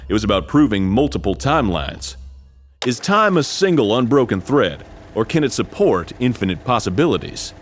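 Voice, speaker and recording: An actor playing an earnest, absurdly serious military major briefing an agent in a comedic video game. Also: male voice, fairly low pitch. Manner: solemn, matter-of-fact about absurd things.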